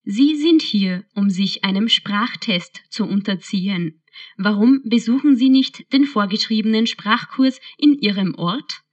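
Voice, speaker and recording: A woman playing a cold female computer that runs a language test, speaking in flat, clipped stock phrases. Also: medium pitch, a little slow, dull audio, lightly acted. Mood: officious.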